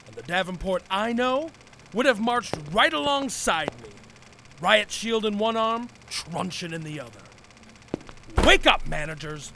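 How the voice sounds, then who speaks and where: An actor in an audio drama playing a pompous, belligerent public safety commissioner, bombastic and declamatory. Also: male voice, high voice, lively intonation, measured pace, vocal fry, pushed and bright, a little hiss or hum, full character voice. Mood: blustering, defiant. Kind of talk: audio drama acting, satirical comedy.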